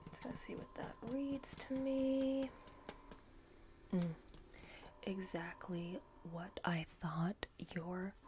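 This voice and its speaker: A woman doing a gentle ASMR roleplay as a reassuring technician, speaking in an unhurried, breathy whisper. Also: fairly low pitch, a little hiss or hum. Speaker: ASMR roleplay performer playing a repair technician.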